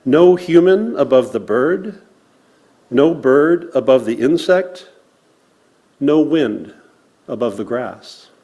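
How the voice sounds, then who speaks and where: Slow-paced man reciting solemnly to a congregation. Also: medium pitch, expressive, mellow. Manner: solemn, measured, deliberate phrasing with pauses. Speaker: worship service speaker. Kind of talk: land acknowledgement and poetry recitation to a congregation.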